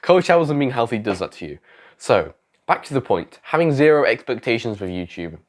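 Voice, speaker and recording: A man's voice: medium pitch, sing-song, a little fast. Young male vlogger, under the weather and losing his voice, loose rambling delivery that keeps correcting itself. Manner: rambling, self-correcting, repeats phrases. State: sick, voice going. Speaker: YouTuber giving advice. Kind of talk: casual talking-head advice vlog.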